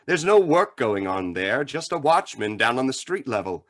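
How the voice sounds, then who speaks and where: A man plays a grave, authoritative crime-fighter in an old radio serial. His mid-pitched voice is measured and formal, with a commanding firmness. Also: sing-song, a bit bright. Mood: grave, thoughtful. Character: calm, authoritative crime-fighting mystery hero, stern and grave. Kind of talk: old-time radio drama acting.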